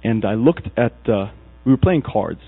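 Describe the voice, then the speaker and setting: A man's voice: mid-range voice, moderate pace, dry recording. A man from Egypt preaching a sermon in a storytelling way, acting out the people in his stories. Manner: storytelling, animated, acts out quoted characters. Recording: husky voice over a tinny, dry, phone-like recording with mains hum.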